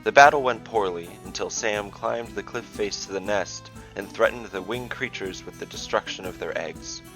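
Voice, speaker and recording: A man reading scripted fantasy narration as a podcast storyteller, in a measured, dramatic way. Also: lowish voice, music in the background, poor audio. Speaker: storyteller and dungeon master, podcast host.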